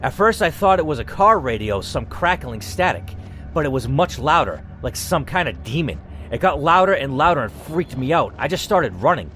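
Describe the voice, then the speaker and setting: A man's voice: fairly high pitch, very animated, a bit bright, slight background noise. A man reading the part of an injured, exhausted male worker who is scared and weary and ready to give up. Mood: frightened. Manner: panting, fretful, quick to give up.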